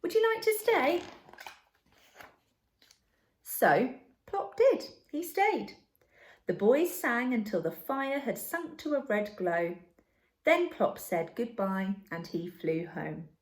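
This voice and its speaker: A woman's voice: medium pitch, big pitch swings, takes it slowly. A woman reading a children's story aloud expressively, like a teacher addressing young listeners, in an echoey room.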